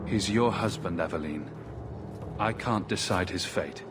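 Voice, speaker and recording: An actor playing a guarded, pragmatic male adventurer in a fantasy game, speaking firmly and tersely with skepticism. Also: unhurried. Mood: grim. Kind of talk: dramatic fantasy game acting. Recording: very loud background ambience and rumble.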